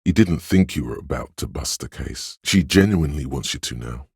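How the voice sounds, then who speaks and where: Picture a hero's inner voice in a dramatic video game, sly and all-knowing. The pitch sits extremely low and the texture is thickly husky, recorded dry and close. The delivery is deliberate and insinuating, coaxing the listener along. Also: male voice, animated, darkish tone, no echo, exaggerated voice. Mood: knowing, intimate. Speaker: video game narrator voicing inner thoughts. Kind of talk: scripted dramatic game narration.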